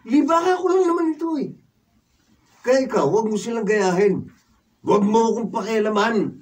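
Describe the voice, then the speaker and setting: A man's voice: high-pitched, moderate pace, recorded from a distance, natural voice. A man playing a freeloading, stubborn, wheedling older brother in a radio drama. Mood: defiant. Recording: off-mic room recording, faint noise.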